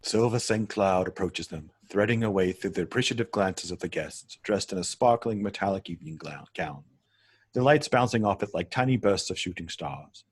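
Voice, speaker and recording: A man's voice: deep voice, moderate pace, slightly rough, dry recording. A man reading scripted stage directions as narrator of a table read.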